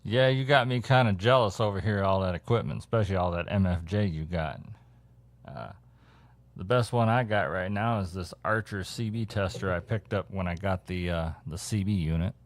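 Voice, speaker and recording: A man with a very deep voice talks in an easygoing, conversational way. He sounds like a hobbyist explaining gear during a casual radio chat. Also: normal speed.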